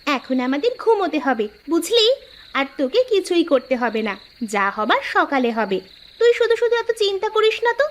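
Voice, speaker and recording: A woman's voice: very high-pitched, very animated, moderate pace. A woman voicing a carefree, bossy, food-loving sister with a breezy, dismissive manner in a scripted cartoon story.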